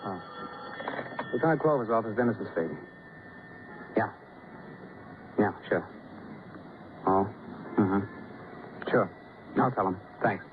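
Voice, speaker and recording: Chatty cop sidekick, tinny radio. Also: male voice, on the low side, animated, slow, long pauses. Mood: officious, defensive. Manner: roundabout, folksy, casual. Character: chatty, obliging, slightly exasperating police sidekick. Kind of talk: 1940s-50s radio drama acting. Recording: tinny, hissy old radio broadcast.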